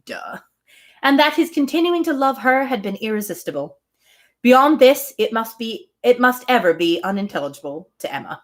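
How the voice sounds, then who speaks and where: A woman reads a novel aloud with lively, swooping intonation at a medium pitch. Her storytelling is expressive, with casual conversational asides to her listeners. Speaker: reader hosting a read-aloud series.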